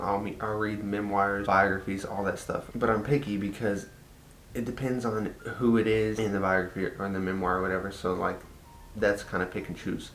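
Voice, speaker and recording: Chatty Texan guy, low voice. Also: fairly bright tone. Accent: Texan Southern. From Texas. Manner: chatty, frequent "like" and repeats. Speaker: vlogger. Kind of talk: casual personal vlog. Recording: echoey room with faint rumble.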